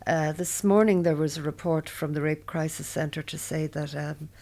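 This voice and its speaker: A woman of seventy-two with an Irish accent takes part in a roundtable discussion. Her voice is low, and she speaks in a direct, matter-of-fact way. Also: fairly even intonation, normal speed, dark tone. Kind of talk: group discussion.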